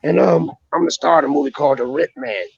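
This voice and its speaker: A hoarse man with a deeply gravelly, raspy voice, a touch higher than most men. He jokes in brash, crude banter as a comedy guest. The sound is dull and lo-fi, like a call line. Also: fairly even intonation, fast, dry recording. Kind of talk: casual podcast chat.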